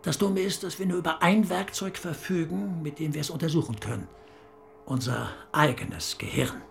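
An actor playing a reflective, scholarly brain expert in a German radio drama, speaking in a musing way. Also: male voice, a little high, moderate pace, vocal fry, a little hiss or hum. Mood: musing, confiding.

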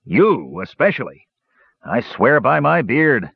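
A man performs a cunning, jovial old-tavern sort of dwarf for an audiobook. His voice is husky and lively in pitch, mock-solemn and cajoling. The recording is dry and a little muffled. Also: takes it slowly, no room sound, exaggerated voice. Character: sly, jovial pot-bellied bearded male dwarf adventurer. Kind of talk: audiobook character voice.

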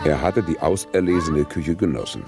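An actor playing a solemn chronicler in a radio play, narrating in a measured, deadpan manner. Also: male voice, extremely low pitch, music in the background. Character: solemn male chronicler of a gentleman's last voyage.

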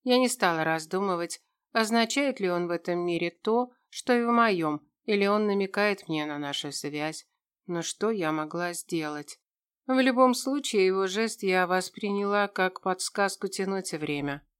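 A woman's voice: mid-range voice, normal speed, clear, resonant tone. A woman reading a scripted first-person fantasy story as an audiobook narrator.

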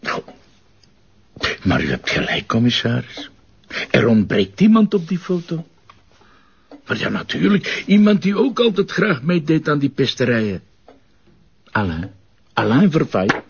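Courteous older Flemish witness in a muffled TV drama: hesitant, eager to help, creaky low voice. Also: male voice, wildly swooping pitch, full character voice. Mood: obliging, animated. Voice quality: husky, creaky. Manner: hesitant, halting.

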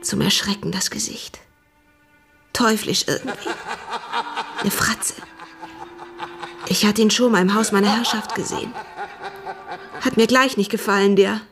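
An actress playing a frightened young housemaid in a radio drama, giving breathless, halting eyewitness testimony in colloquial speech. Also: female voice, medium pitch, natural voice. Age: young adult.

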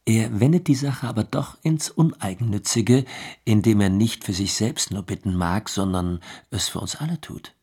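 A man's voice: on the low side, moderate pace, a little mellow, husky. A man playing an earnest, learned friend in a radio play, reasoning and questioning in formal speech. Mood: earnest, inquiring. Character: earnest, learned male friend gently debating theology.

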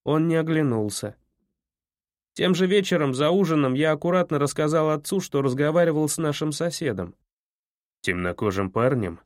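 Male narrator for a first-person audiobook; measured, even delivery, dry close recording. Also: fairly high pitch, no echo.

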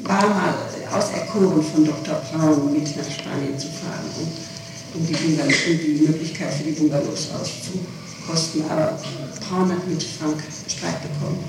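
A woman's voice: sits low, steady tone, moderate pace. A woman answering questions in an interrogation, repeating and rephrasing as she goes, on an old 1960s tape recording. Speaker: person being questioned in an interrogation. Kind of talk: interrogation testimony, spontaneous answers. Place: echoey room, recorded from a distance. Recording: noisy, echoey 1960s tape, distant microphone.

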